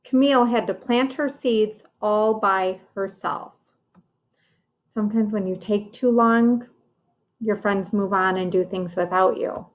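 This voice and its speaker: A woman's voice: mid-range voice, a little slow. A woman teacher reading a children's storybook aloud, explaining it directly to young listeners.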